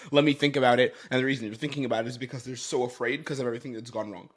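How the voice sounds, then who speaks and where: A man's voice: mid-range voice, steady tone, rapid-fire. A man giving explanatory commentary as a sales coach, stressing his points emphatically and repeating phrases.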